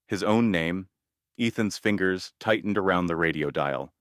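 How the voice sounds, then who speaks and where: A man narrating a scripted scary story in a measured, ominous manner, in short deliberate phrases. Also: low voice, a bit bright, no echo, studio quality.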